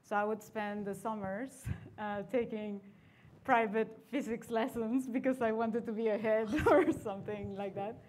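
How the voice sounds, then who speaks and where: A woman's voice: fairly high pitch, fairly even intonation, a little slow, a little mellow, slight background noise. Chatty woman from Mexico on a panel. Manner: chatty, laughs between phrases. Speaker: venture capitalist panelist. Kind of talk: panel discussion. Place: conference stage seated in armchair.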